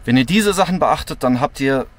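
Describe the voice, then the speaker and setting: A man's voice: mid-range voice, expressive, brisk. A man in his thirties giving casual, colloquial and enthusiastic explanations to camera as a vlogger. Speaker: vlogger, host. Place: in and around a camper van. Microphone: handheld camera at arm's length.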